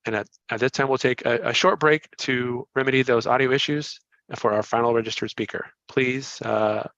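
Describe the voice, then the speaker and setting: A man's voice: mid-range voice, steady tone, moderate pace, a bit bright, no room sound, highs cut off. A man moderating a public hearing in a polite, procedural way. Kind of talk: formal moderation.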